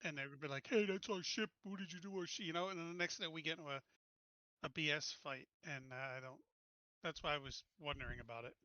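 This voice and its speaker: A man's voice: a little high, fast talker, bright, forceful tone, dry recording, muffled recording. A man chatting casually as a player in a tabletop roleplaying game, talking through his choices.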